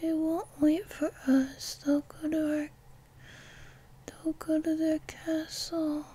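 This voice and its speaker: A woman playing a sleepy, affectionate young girlfriend in an intimate ASMR roleplay, soft and breathy, often whispering, with a coaxing, playfully bossy murmur. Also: sits high, flat delivery. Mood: dreamy sleeptalk. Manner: drowsy, slow, murmuring, coaxing.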